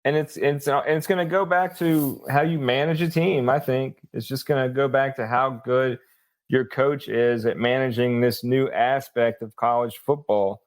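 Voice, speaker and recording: A man, a sports journalist guest, giving casual unscripted commentary in a halting, think-aloud manner. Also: medium pitch, steady tone.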